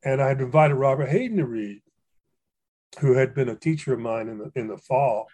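An older man from Hawaii telling stories about fellow poets in a relaxed conversation, with frequent fillers and restarts. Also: mid-range voice, fairly quick. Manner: rambling, many fillers and restarts, warm anecdotes. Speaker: poet and guest. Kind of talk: reflective storytelling conversation.

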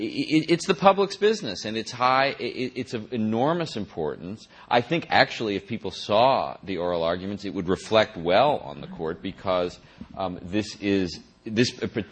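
A man, a journalist, answering interview questions in a halting way, with many restarts and a dry, wry edge. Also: sits low. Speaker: journalist and author.

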